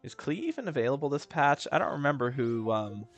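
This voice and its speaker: A man's voice: medium pitch, brisk, soft background music. A man casually commenting over a video game he is playing, bored and sarcastic, dismissive of what is on screen. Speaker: gaming streamer. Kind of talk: casual let's-play commentary.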